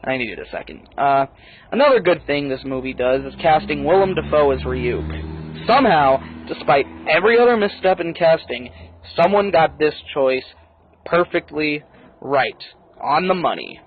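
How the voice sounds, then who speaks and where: A man's voice: on the high side, animated, moderate pace, phone-quality sound, a little hiss or hum. A man, a native English speaker, giving a blunt, casually profane and opinionated movie review in a conversational style.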